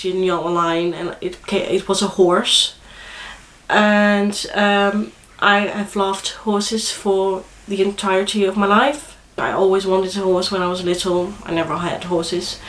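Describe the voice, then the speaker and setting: A woman's voice: medium pitch, steady tone, a little slow, a bit bright, roomy sound. A young woman from the Netherlands with a Dutch accent, speaking with a cold in a chatty, hesitant vlog to camera. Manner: chatty, hesitant, many fillers and restarts. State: congested with a cold. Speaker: vlogger. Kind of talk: casual chat to camera.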